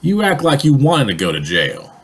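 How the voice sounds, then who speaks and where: A man acting a gruff, bossy police sergeant in an old-time radio comedy, blunt and colloquial. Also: medium pitch, wildly swooping pitch, moderate pace, fairly bright tone, exaggerated voice. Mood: gruff, wary.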